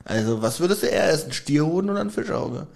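A young man co-hosting a casual podcast, talking about an audio drama with a teasing, joking manner. His voice sits a little high for a man, and he laughs often between remarks. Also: big pitch swings, quick pace, vocal fry, a little mellow. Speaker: podcast co-host.

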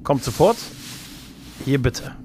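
A man's voice: medium pitch, sing-song, slow, dark tone, a little hiss or hum. A man playing a sarcastic, flippant sidekick in a German sci-fi audio drama, talking in a wry, quippy way. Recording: music under the dialogue.

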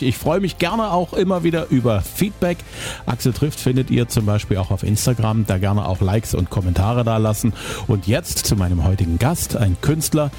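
A man's voice: mid-range voice, darkish tone. Middle-aged male podcast host from East Germany, eager and talkative, faint music underneath. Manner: chatty and enthusiastic, reminiscing. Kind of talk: casual interview chat.

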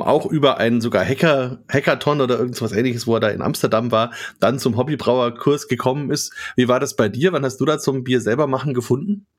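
Man, podcast host, husky voice, mid pitch, keen and chatty. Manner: enthusiastic, conversational. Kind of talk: podcast interview.

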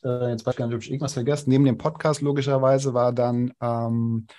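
A man's voice: mid-range voice, flat delivery, fast talker, dark tone. A young adult man, a podcast guest, speaking casually with many hesitations and restarts. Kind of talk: casual interview talk.

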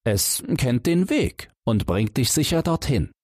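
A man's voice: medium pitch, big pitch swings, measured pace, darkish tone, no echo, clean studio sound, pitched above the performer's own voice. A man voicing a kindly, courtly king of the mice in a raised voice. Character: kindly regal male king of all mice.

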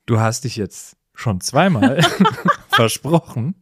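A man's voice: mid-range voice, very animated, slow, fairly bright tone, slightly rough, no echo, clean studio sound. A man co-hosting a casual, comedic discussion, with a teasing, bantering manner. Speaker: podcast co-host.

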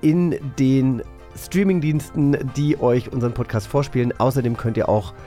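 A man's voice: medium pitch, moderate pace, warm tone, faint music behind. A man co-hosting a podcast, chatting casually in a studio. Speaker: podcast co-host. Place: dry studio.

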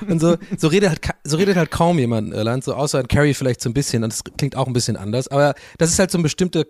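A young man from Ireland, hosting a casual film podcast and talking in an animated, ranting way with quick asides. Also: mid-range voice, no room sound, clean studio sound. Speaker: podcast host.

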